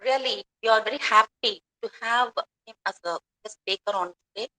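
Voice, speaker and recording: A woman reads a formal guest introduction in a measured, respectful way. Her voice is bright and a little high, coming through a video call connection. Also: low-quality recording. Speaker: assistant professor introducing the chief guest.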